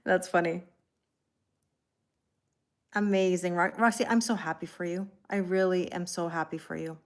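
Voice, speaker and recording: A woman's voice: lowish voice, steady tone, moderate pace. A Canadian woman, probably in her thirties, hosting a tarot live stream and chatting casually with viewers, greeting them by name and laughing often.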